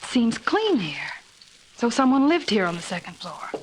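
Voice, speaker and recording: An actress playing an uneasy, cautious newspaper reporter in a hissy 1940s radio drama. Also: female voice, on the high side, big pitch swings, moderate pace, low-quality recording. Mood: wary. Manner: wary, questioning delivery. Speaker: radio actor.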